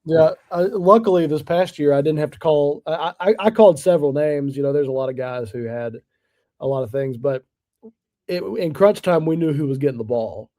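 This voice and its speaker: Mellow-voiced man chatting as an interview guest. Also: on the high side, moderate pace, dry recording. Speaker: guest, stadium PA announcer. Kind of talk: casual interview chat.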